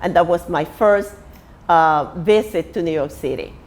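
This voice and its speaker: A woman in her sixties from Puerto Rico, with a Puerto Rican accent, telling her life story as an artist and printmaking teacher. She speaks in an unhurried way, with many pauses and restarts. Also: lowish voice, a little mellow. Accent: Puerto Rican Spanish. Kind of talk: personal life story told to camera.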